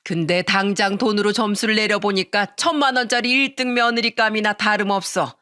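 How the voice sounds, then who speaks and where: A woman's voice: medium pitch, fairly even intonation, moderate pace, pushed and bright, natural voice. Woman acting a stern, scolding old mother-in-law. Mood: angry scolding. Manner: firm, reproachful, blunt.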